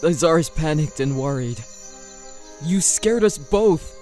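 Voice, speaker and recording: A woman's voice: low voice, expressive, unhurried, warm tone, soft background music. A woman acting a tired, worried elf father in an audio drama. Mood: relieved, tender.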